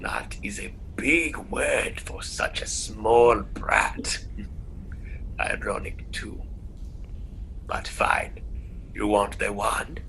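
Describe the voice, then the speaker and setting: A man playing a menacing, sarcastic storybook bogeyman in a radio play, with a gravelly, whispery voice and a theatrical, taunting delivery. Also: on the low side, drawn-out words, pauses often, pushed and bright, full character voice. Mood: scornful. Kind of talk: irreverent radio play acting. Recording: noisy recording.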